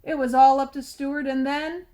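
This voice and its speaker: A woman reading a children's storybook aloud as scripted narration, with deliberate, dramatic emphasis. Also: high-pitched, flat delivery, dark tone.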